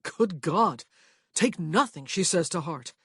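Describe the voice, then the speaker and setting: A woman voicing an earnest, lovesick young gentleman who speaks with emphasis. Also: lowish voice, lively intonation, slow, breathy edge, no room sound, studio quality, full character voice. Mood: urgent.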